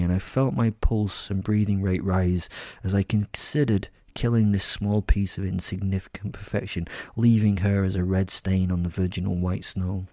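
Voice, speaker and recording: Casting: male narrator for horror drama, low and dark-toned, sardonic, very dry close sound. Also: no room sound. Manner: sardonic, wry, brooding. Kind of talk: first-person dramatic storytelling in character.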